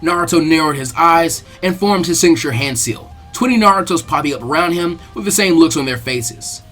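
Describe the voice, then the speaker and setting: A man narrates a story in a dramatic audiobook style. His voice sits a little high for a man, and music plays behind him. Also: expressive, brisk, fairly bright tone. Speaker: fan fiction reader and narrator.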